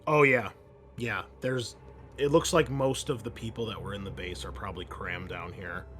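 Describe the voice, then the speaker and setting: A man running a tabletop roleplaying game as game master, narrating scenes haltingly with pauses. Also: fairly low pitch, fairly even intonation, fairly bright tone, slight background noise.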